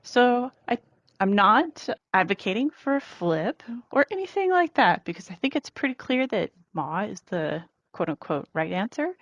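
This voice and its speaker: A woman leaving a casual voice message as a listener, giving relaxed spoken commentary. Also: medium pitch, normal speed, dry recording. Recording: dry, dull lo-fi voice message.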